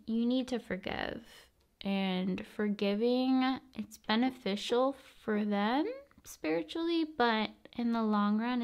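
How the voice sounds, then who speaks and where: A woman speaks very slowly in a soft ASMR style, with a strongly creaky edge to her voice. Her pitch sits in a middle range for a woman. She talks casually in short phrases with pauses, often dropping toward a whisper. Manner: unhurried, pausing between short phrases. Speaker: ASMR video host. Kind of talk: ASMR, casual spoken musings.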